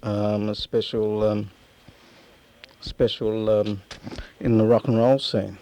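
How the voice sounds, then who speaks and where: A man conducting an informal arts radio interview, speaking haltingly as he feels for his questions. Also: lowish voice, level tone, warm tone, a little hiss or hum, low-quality recording. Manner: hesitant, halting, feeling for questions.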